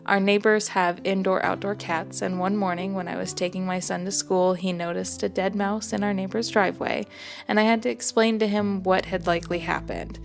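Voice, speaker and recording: A woman reading her own first-person story aloud in a gentle, soft-spoken way. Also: mid-range voice, level tone, moderate pace, gravelly, faint music behind. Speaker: author and narrator.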